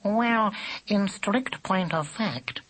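A man voicing a grave, haughty storybook Siamese cat gentleman with silky, formal, precise speech. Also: high voice, pitched above the performer's own voice, heavily acted. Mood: silky, polite. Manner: formal, precise, drawn-out delivery.

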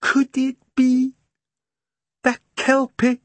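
A man reading an audiobook voices a soaked, shaken Scottish postman in an excited, pleading tone. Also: drawn-out words, no echo, heavily acted. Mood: hushed, anxious. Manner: excitable, breathless, high-pitched pleading.

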